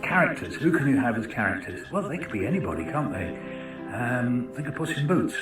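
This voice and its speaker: A man's voice: medium pitch, sing-song, fairly quick. A man giving an informal educational talk in a conversational, chatty way, often posing questions to his listeners. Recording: roomy, distant mic with music.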